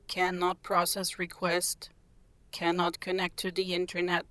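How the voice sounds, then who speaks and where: A woman's voice: fairly low pitch, monotone, a little slow, a bit bright, dry recording. A woman voicing an impassive robot carer in a radio play, speaking in an emotionless, mechanical way. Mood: blank. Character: impassive robot carer giving error messages.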